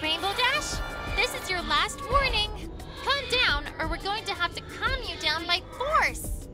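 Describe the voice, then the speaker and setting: A woman's voice: extremely high pitch, lively intonation, unhurried, loud music behind the voice. A woman playing an exasperated magic-wielding pony in an audio drama, insistent and scolding. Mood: stern.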